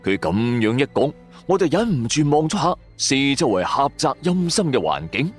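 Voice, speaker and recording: A man with a Cantonese accent narrating a story in an expressive, colloquial, dramatic scripted style. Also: medium pitch, normal speed, husky, faint music behind.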